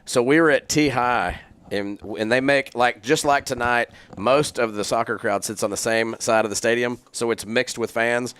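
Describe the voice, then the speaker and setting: A man's voice: medium pitch, fairly even intonation, normal speed, fairly bright tone, clean tone. A man, a livestream sports commentator chatting casually in a stadium press booth. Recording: noisy live booth, clicks.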